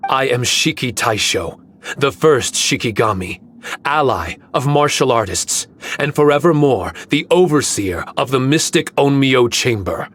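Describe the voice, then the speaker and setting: An ancient guardian spirit from a video game, speaks in a measured, unhurried way. He is solemn, loyal and wistful, with formal phrasing and a mid-pitched voice. Faint game ambience sits behind him. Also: expressive, fairly bright tone, slight background noise. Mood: resolute. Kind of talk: dramatic video game dialogue.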